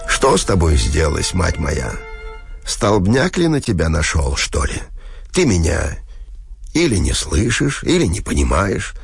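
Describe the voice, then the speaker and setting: A man's voice: low voice, wildly swooping pitch, measured pace, gravelly, slightly rough, soft background music, full character voice. A man voicing a peevish, imperious old countess in an audiobook, firing off demanding questions and scolding. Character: cranky, imperious old countess, scolding her young companion.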